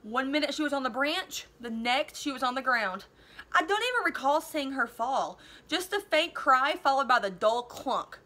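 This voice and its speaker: A woman's voice: high-pitched, lively intonation, normal speed, bright, forceful tone. A woman in her thirties reading a children's novel aloud expressively, like a teacher. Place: living room at home.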